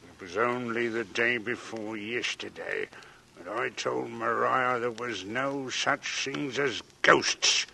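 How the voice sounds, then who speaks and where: Slow, creaky workman. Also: mid-range voice, fairly even intonation, sharp tone, a little hiss or hum, full character voice, rough recording. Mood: uneasy, puzzled. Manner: hesitant, halting, muttering. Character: uneasy working-class monument mason, plain-spoken and superstitious. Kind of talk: 1940s radio drama acting. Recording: old radio broadcast, music behind.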